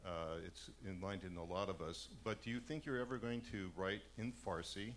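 A man from the audience who asks a slow, halting question with many hesitations. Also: low-pitched, a little hiss or hum. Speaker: audience member asking a question.

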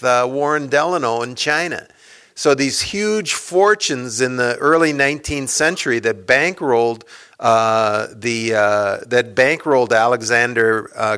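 A man's voice: mid-range voice, bright, forceful tone, clear, resonant tone. A man, an author, speaking to an audience about his book in a loose, rambling way with many fillers and restarts. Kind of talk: casual lecture talk with audience Q&A.

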